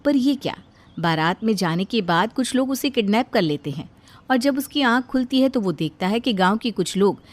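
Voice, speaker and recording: A woman's voice: mid-range voice, animated, normal speed. A woman narrator reading a scripted cartoon tale in storytelling style.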